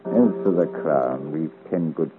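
A bold mercenary rogue with a very deep voice. He is swaggering and villainous in old radio-drama fashion. Music plays behind, and the recording is thin and tinny. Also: unhurried. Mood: swaggering menace. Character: bold, menacing male rogue captain, swaggering hireling. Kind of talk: 1950s radio serial acting.

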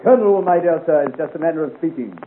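An actor playing a flamboyant rogue cavalry officer in a 1950s radio serial, florid and mockingly courteous. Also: male voice, fairly high pitch, expressive, fast, phone-quality sound, natural voice. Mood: mock-polite, swaggering. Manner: florid, pompous, grandly ironic. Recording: scratchy 1950 radio recording, thin band.

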